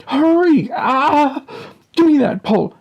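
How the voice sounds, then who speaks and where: A man voicing a panicked, exasperated father in a comic audiobook, yelling urgently in strained bursts. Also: very high-pitched, expressive, slow pace, creaky, dull audio, pitched above the performer's own voice, exaggerated voice. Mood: frantic, exasperated. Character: panicked, exasperated father trapped in a collapsing tent.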